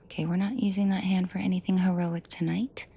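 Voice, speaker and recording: A woman playing a protective mafia boss in an intimate audio drama, soft and breathy with frequent whispering, commanding and dryly teasing. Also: fairly low pitch, flat delivery, brisk. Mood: tender, teasing. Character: protective female mafia boss, menacing to henchmen, tender to victim.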